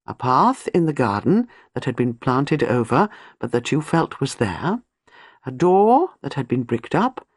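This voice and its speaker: A woman reading an audiobook, voicing a calm, kindly elderly woman who talks softly and patiently. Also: sits low, breathy edge, no echo, studio quality, full character voice. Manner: soft, soothing, patient.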